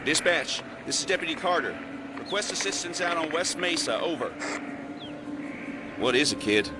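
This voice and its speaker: An actor playing a mild, confused sheriff's deputy in an audio drama, speaking hesitantly and placatingly. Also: male voice, a little high, lively intonation, normal speed, close to an everyday voice. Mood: uneasy, official. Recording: loud music over the voice.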